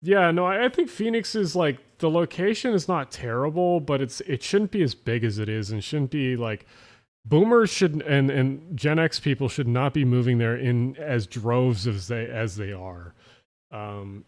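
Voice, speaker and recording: A man's voice: mid-range voice, lively intonation, a little slow. Tipsy south jersey guy hosting a late stream, rambly, lots of uhs, swears a bit. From South Jersey, USA. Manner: rambling, many fillers and restarts, swears casually. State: slightly tipsy. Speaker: livestream host. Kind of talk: casual late-night stream chat, answering chat.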